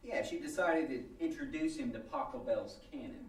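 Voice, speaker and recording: A roomy, distant recording of a sarcastic middle-aged husband, played by a man. He grumbles and teases with a lively rise and fall, in radio-comedy style. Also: fairly high pitch, normal speed, close to an everyday voice. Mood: teasing. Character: sardonic, teasing middle-aged small-town husband. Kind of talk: old-time radio comedy acting before an audience. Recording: roomy live stage, distant microphone.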